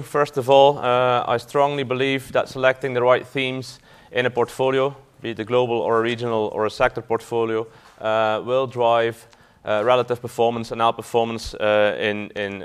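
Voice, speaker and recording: A man's voice: mid-range voice, level tone, normal speed, few pauses, fairly bright tone, smooth. A man from Belgium, a fund manager giving a business talk to an audience, hesitant with frequent fillers and restarts.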